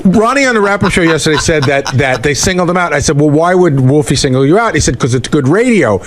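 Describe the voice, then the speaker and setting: Male radio regular, slightly high voice, unscripted panel discussion. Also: animated, fast.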